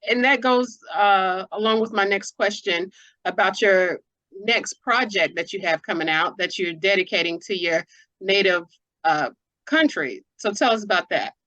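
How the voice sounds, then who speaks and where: A woman's voice: medium pitch, moderate pace, a bit bright, dull audio. Playful, informal woman interviewer. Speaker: interviewer, host. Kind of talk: casual conversational interviewing.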